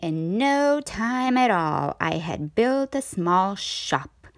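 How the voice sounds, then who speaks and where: A woman reading a children's story aloud as a storyteller, with dramatic, deliberate phrasing. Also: mid-range voice, animated, no room sound, clean studio sound. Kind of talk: expressive children's read-aloud.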